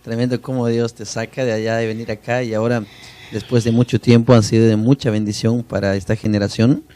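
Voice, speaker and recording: A man's voice: mid-range voice, level tone, fairly quick, warm tone. A man hosting a church interview program, deferential and effusive toward his guest. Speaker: interview host. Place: church media studio.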